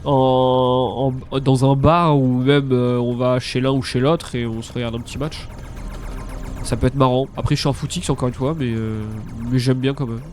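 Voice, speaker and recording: A man's voice: medium pitch, level tone, normal speed, darkish tone, faint music behind. A young man with a congested nose, chatting casually and hesitantly as he streams a game. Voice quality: congested, blocked-nose sound. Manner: hesitant, repeats words, mild swearing. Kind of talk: casual live stream chat over gameplay.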